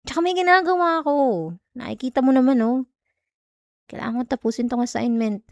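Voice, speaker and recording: A woman's voice: sits high, expressive, fairly quick, no echo, clean studio sound. A woman with a Filipino accent playing a jealous, easily irritated student girlfriend in an ASMR roleplay, defensive and clipped. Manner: defensive, clipped, teasing Taglish.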